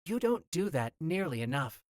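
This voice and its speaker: Guy doing a young lady voice, pitched up, curious and spirited, audiobook. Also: big pitch swings, normal speed, no echo, clean studio sound. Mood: wondering. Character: young noblewoman, curious, spirited, new mother.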